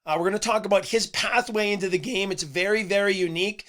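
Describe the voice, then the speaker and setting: A man hosting a sports podcast in a casual monologue. His voice is a little hoarse and he talks earnestly, with "uh"s and repeated words. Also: high-pitched, fast talker, bright, forceful tone. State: slightly hoarse voice. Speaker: podcast host.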